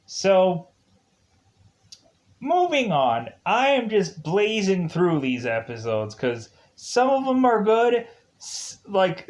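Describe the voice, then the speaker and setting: A man talks through a review in a casual, unscripted ramble. His voice sits at a middling pitch. He halts often and repeats himself, circling back over phrases before moving on.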